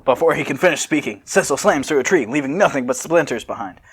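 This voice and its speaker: A man's voice: a little high, lively intonation, quick pace, very noisy. A man narrating a story in an amateur read-aloud style, with casual joking asides.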